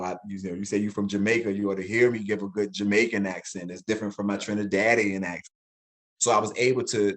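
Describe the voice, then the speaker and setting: A man in his forties, an educator, speaking reflectively in an interview and often repeating words as he thinks. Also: fairly low pitch. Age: 40s. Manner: reflective, animated when quoting, repeats words. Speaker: guest, educator. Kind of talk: interview conversation.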